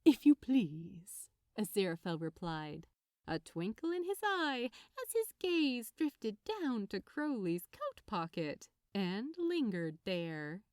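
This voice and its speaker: A woman narrating a piece of fiction as an expressive scripted reading. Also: mid-range voice, takes it slowly, clear, resonant tone, no echo, clean studio sound.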